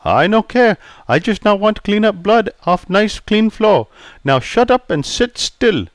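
A man's voice: medium pitch, huge pitch swings, measured pace, no room sound, studio quality. A man voicing a prickly older male barber who speaks in clipped, broken English. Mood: gruff.

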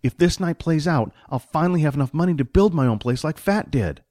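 A man's voice: on the high side, lively intonation, a little fast, warm tone, no room sound, clean studio sound, voice pushed up, natural voice. A man voicing a pragmatic, money-minded hired hand in a fantasy audiobook, explaining things in impatient colloquial talk.

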